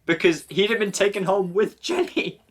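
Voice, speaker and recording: A man's voice: high-pitched, expressive, normal speed. A man in jokey, sweary banter over a film, often exclaiming in mock outrage. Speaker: host of a comedy film commentary show.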